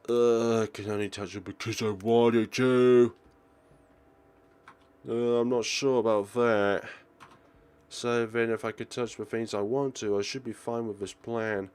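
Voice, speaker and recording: A man's voice: medium pitch, steady tone, measured pace, a little mellow, clean tone. A man reading a visual novel aloud as a let's player, theatrical, sometimes stumbling and rereading phrases. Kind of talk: game commentary with dramatic read-aloud narration.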